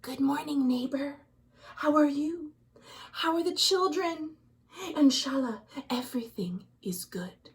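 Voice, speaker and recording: Woman storyteller as nervous villager, hushed and whispery, high-voiced, storybook style. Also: animated, slow, recorded from a distance, exaggerated voice. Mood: timid, hushed. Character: timid villagers greeting and shushing in fearful whispers.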